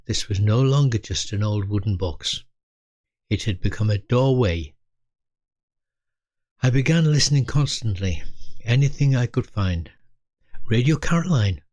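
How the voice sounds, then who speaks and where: Low-voiced elderly man narrating. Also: a little mellow, no room sound. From Britain. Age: 80s. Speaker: storyteller recalling his youth. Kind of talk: scripted reflective memoir narration.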